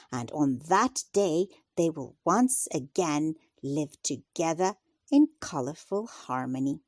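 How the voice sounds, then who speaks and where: A woman reading a children's story aloud in a deliberate, expressive storytelling style. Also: lowish voice, dry recording, studio quality. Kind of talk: scripted children's story narration.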